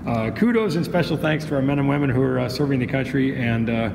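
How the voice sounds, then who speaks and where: A man's voice: mid-range voice, fast, vocal fry. A man chatting casually through a product demo as a dealer, rambling into joking asides. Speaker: pro audio dealer and demo guest. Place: indoor demo room at a trade expo. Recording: echoey, noisy room with long reverb.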